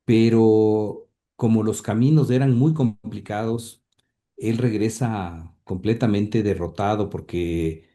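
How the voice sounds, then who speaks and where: Slow, dark-voiced hesitant man. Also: fairly low pitch. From Ecuador. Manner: hesitant, many fillers and restarts. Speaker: interview guest, grandson of the subject. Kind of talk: recounting family history in an interview.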